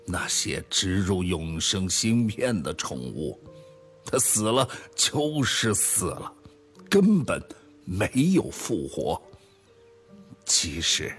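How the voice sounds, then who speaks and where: Radio drama role: penitent male founder played by a man, deep, weighty, unhurried, confessing gravely. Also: sing-song, soft background music, exaggerated voice. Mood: solemn confession. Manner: grave, solemn, deliberate, sighing. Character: remorseful male tech founder confessing a fraud. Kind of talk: dramatic radio play monologue.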